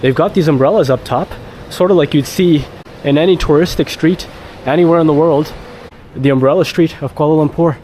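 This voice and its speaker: A man's voice: fairly high pitch, brisk, mellow, a little hiss or hum. A Canadian man walking through a city market outdoors, chatting upbeat to his viewers as a travel vlogger. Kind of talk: handheld travel vlog narration.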